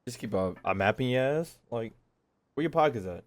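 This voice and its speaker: A man's voice: mid-range voice, lively intonation, normal speed. A man doing casual live stream commentary on a game, slangy, sweary and joking, close on the mic.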